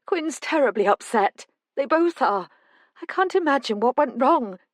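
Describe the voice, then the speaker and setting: A woman's voice: sits high, expressive, no room sound, clean studio sound, pitched above the performer's own voice. Woman voicing a frightened tearful older mother. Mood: distraught. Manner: tremulous, rushed outpouring.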